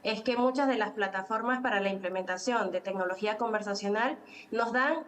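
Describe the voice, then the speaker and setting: A woman from Venezuela with a Venezuelan Spanish accent, explaining calmly as a conference panelist and pausing often on fillers. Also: mid-range voice. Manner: explanatory, with frequent filler pauses.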